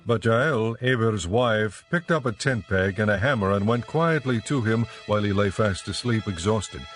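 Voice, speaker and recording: A man's voice: on the low side, normal speed, soft background music. A man reading as the narrator of a scripted, dramatized scripture audiobook.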